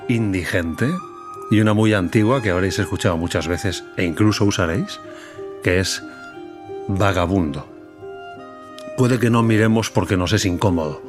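A middle-aged man hosting a podcast, speaking in a slow, deliberate, reflective way. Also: lowish voice. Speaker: podcast host. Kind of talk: reflective monologue and interview.

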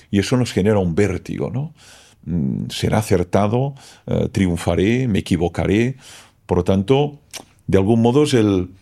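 A man's voice: lowish voice, vocal fry, mellow. A man whose first language is Catalan, a philosopher guest talking through ideas in a thoughtful, explanatory way and often ending phrases with a questioning tag. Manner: thoughtful, explanatory, keeps tagging "¿no?". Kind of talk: reflective interview talk.

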